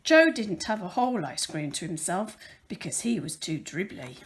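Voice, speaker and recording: A woman reading a children's picture book aloud as a volunteer storyteller, with careful, expressive emphasis on the words. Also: fairly low pitch, moderate pace.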